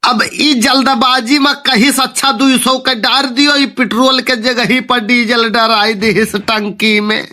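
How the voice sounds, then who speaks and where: Exasperated village dad for cartoon comedy, played by a man: Awadhi accent, slow delivery, sharp bright tone, sarcastic grumbling. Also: very high voice, steady tone, full character voice. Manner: complaining, sarcastic. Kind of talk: comic cartoon dialogue.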